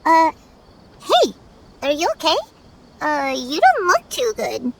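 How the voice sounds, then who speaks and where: A woman voicing a tiny, chatty, curious childlike girl companion in a video game, with a squeaky voice. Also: huge pitch swings, slow pace, gravelly, a little hiss or hum, full character voice. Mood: chatty, concerned.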